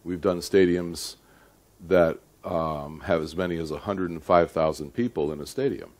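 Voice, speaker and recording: A middle-aged man lecturing at a seminar in a deliberate, emphatic but conversational way, often checking in with his listeners. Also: low voice, a little mellow. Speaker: audio engineering lecturer.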